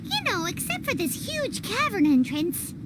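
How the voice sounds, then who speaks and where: Childlike fairy companion, tiny and chatty, very high squeaky pitch, lively swings, exclamatory, fantasy game, loud ambient noise. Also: female voice, a little slow, exaggerated voice. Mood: curious, chatty. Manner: refers to self in third person, exclamatory. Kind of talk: anime fantasy game voice acting. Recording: game audio over loud background ambience.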